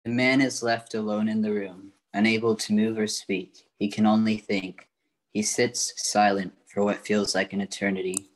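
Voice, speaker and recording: A man's voice: fairly low pitch, little pitch movement, moderate pace, rough recording. A man reading scripted narration for a radio play as its narrator.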